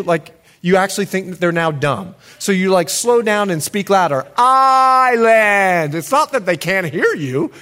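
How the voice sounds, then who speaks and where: Animated Bahamian preacher man. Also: high voice. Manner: animated, dramatic, acts out scenes. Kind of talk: sermon storytelling.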